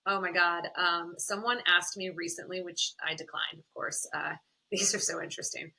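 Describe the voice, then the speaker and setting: A woman guest on a podcast, chatting candidly with a smoky voice, animated and quick to laugh. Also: on the low side. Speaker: podcast guest, content creator and confidence coach. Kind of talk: candid conversational interview.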